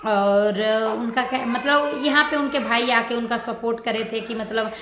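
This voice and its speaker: Female vlogger, higher-pitched, casual and repetitive commentary, roomy echo with some background noise. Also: steady tone. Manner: rambling, repetitive, halting. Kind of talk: casual reaction commentary addressed to viewers.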